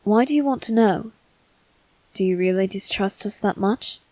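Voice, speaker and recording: A woman voicing a male demon servant in a scripted ASMR roleplay, speaking formally and courteously with soft, flirtatious teasing. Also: mid-range voice, moderate pace, dry recording, close to an everyday voice. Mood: calm, wistful.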